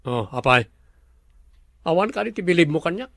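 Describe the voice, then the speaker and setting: Casting: scheming radio-drama boss, gravelly, slightly high male voice, pushy, dry studio sound. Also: animated, normal speed, fairly bright tone, no room sound. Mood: pressing, teasing. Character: scheming, commanding resort businessman, a boss. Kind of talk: Ilocano radio drama acting.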